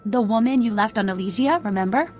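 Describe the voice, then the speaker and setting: A woman plays a jilted rich girl turned bounty hunter in a video game. Her youthful voice is fairly high, accusing and resolute, with music underneath. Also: expressive, fast talker, a little mellow, close to an everyday voice. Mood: bitter, hurt. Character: bitter young female bounty hunter, jilted rich senator's daughter.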